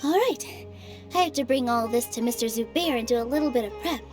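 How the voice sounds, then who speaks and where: A woman voicing a kind, cheerful young female dancer who talks in a warm, reassuring way in a video game. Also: fairly high pitch, brisk, close to an everyday voice. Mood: gentle. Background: game music.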